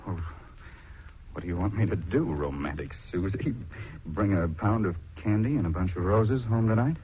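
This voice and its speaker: A man's voice: low-pitched, normal speed, breathy edge. A man acting an easygoing, teasing, baffled husband in a 1940s radio drama, on a muffled old recording. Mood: breezy.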